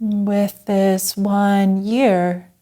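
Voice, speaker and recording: Woman, meditation guide, very slow pace, mellow dark timbre, gentle, long pauses. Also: mid-range voice, level tone. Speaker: Zen Buddhist teacher guiding a meditation.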